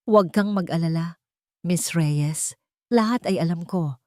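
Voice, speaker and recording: Cold wealthy matriarch, played by a female narrator, soft-spoken, commanding, menacing, lowish pitch, audio drama, dry close sound. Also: unhurried, no echo, lightly acted. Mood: cold, threatening.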